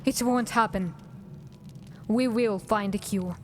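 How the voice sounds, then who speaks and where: A woman's voice: mid-range voice, slow pace, a little mellow, slight background noise, close to an everyday voice. A woman voicing a guarded, pragmatic drow assassin in fantasy game dialogue, terse and reserved. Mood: determined.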